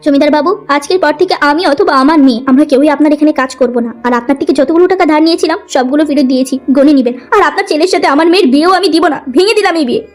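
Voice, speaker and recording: A woman's voice: high-pitched, fast, few pauses, soft background music, natural voice. A woman voicing a proud, protective middle-aged widowed mother in a cartoon moral story, speaking with heartfelt firmness. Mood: firm, indignant. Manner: firm, pleading, heartfelt.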